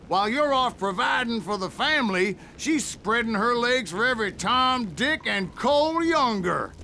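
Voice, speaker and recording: An actor playing a weathered older gunslinger with an American Western drawl, telling his tales of revenge in a wry, deliberate way for a video game. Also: extremely high pitch, animated, pushed and bright, a little hiss or hum, heavily acted. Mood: loud taunting. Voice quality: gravelly. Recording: music behind the voice.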